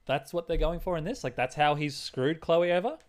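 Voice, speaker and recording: A man's voice: a little high, brisk. A man co-hosting a casual movie review chat, thinking aloud in an informal, loosely structured way. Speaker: podcast co-host.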